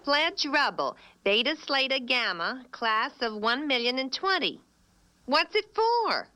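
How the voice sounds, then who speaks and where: An actress voicing a confident, breezy, sly housewife in an animated sitcom. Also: female voice, high-pitched, unhurried, slight background noise, full character voice. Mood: chipper. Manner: breezy, self-assured. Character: confident, sly cartoon housewife, practical schemer.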